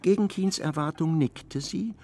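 A man narrating a literary radio play in an expressive, ironic storytelling manner. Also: on the high side, measured pace, a little hiss or hum.

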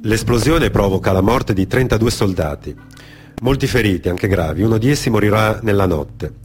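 A man's voice: fairly low pitch, lively intonation, moderate pace. Male radio-theatre storyteller over faint music. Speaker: storyteller, actor. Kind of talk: dramatic narrative monologue for radio theatre.

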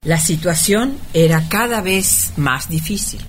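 A woman's voice: lowish voice, lively intonation, measured pace. A woman narrating a radio play with dramatic, scripted storytelling. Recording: music playing behind the voice.

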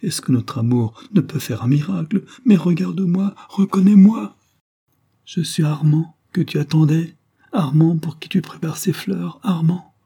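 A man's voice: medium pitch, expressive, normal speed, mellow, husky, voice pushed up. A man playing an ardent, anguished count who pleads with his beloved in an impassioned, melodramatic way. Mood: desperate.

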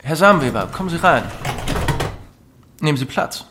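An actor playing a calm, patient professional man, reassuring and methodical, in a German radio drama. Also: fairly high pitch, normal speed, noisy background, lightly acted. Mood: calm, courteous. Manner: composed, reassuring, methodical questioning. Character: calm, patient male professional advising a troubled client.

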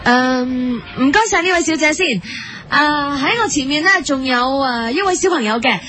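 A woman acts out an earnest female news reporter in a parody radio bulletin. Her voice is high and polite, with a Hong Kong Cantonese accent. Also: expressive, moderate pace, pushed and bright, slight background noise.

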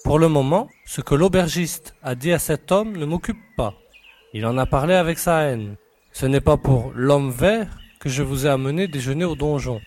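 A man playing a confident, brisk young investigator in an audiobook, speaking in an assured, lightly ironic way. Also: on the high side, a little mellow, close to an everyday voice. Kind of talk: dramatised audiobook acting.

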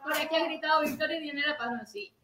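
A woman with a Venezuelan accent hosting a live comedy show in a joking, animated manner. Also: high voice, quick pace. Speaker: podcast host, comedian. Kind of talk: live stand-up style hosting before an audience. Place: live comedy venue with audience. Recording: echoey live venue, clearly noisy.

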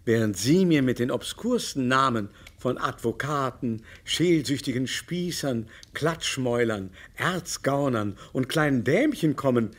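An actor playing a haughty, capricious aristocratic baron in a radio play, speaking in a pompous, mannered, scornful way. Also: male voice, mid-range voice, lively intonation, a little slow, hardly any pauses. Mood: disdainful.

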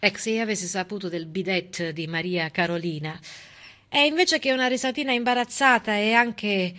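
A woman delivering a theatrical monologue in an expressive, emphatic way, with conversational asides. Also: mid-range voice, normal speed. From southern Italy. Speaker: stage actress performing a monologue. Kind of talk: theatrical civic monologue, storytelling.

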